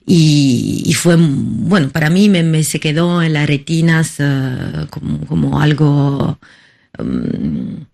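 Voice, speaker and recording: A woman from Italy, a filmmaker who is not a Spanish speaker, answering interview questions in a halting way, often searching for words and restarting. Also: deep voice, sing-song, gravelly, warm tone. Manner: halting, searching for words, many repeated starts. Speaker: documentary filmmaker, interview guest.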